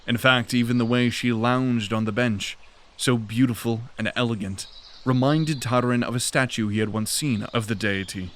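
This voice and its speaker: Man, narrator, scripted fantasy narration, steady delivery. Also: mid-range voice, normal speed, a little hiss or hum.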